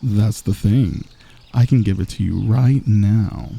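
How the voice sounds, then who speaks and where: Man acting a dark-voiced, smugly flirtatious villain. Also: on the low side, slow, gravelly, husky, no echo, heavily acted. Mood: smug teasing. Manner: soft, intimate, teasing, cocky. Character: smug, teasing, flirtatious male villain wooing an enemy. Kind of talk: ASMR romantic roleplay. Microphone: close microphone.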